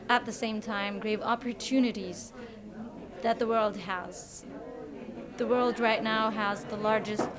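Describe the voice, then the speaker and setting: A young woman from Egypt, a youth delegate speaking articulately and earnestly, pausing often on "uh". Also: mid-range voice. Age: young adult. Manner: articulate, earnest, with many "uh" pauses. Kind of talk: short prepared statement to camera.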